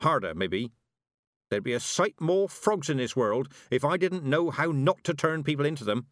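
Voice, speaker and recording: A man's voice: fairly high pitch, lively intonation, moderate pace, no echo, studio quality, pitched above the performer's own voice, natural voice. A man voicing a stern, blunt, proud old country witch woman with emphatic rustic speech, in audiobook style. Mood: firm, wry. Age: older. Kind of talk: audiobook character acting.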